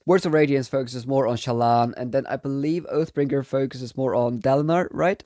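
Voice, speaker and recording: A man's voice: medium pitch, darkish tone, dry recording. Young guy with a cold doing a chatty book review, dry close sound. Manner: enthusiastic, chatty, repeats himself. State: has a cold. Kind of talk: casual book review vlog.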